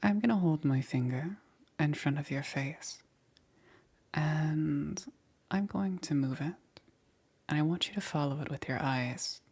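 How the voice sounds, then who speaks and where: A woman playing a gentle witch caring for a stranger in a soothing ASMR roleplay, breathy and often dropping into a whisper. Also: low voice, heavily acted. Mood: gentle, attentive. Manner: unhurried, soothing, checking in with short murmurs. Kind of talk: soft-spoken ASMR roleplay.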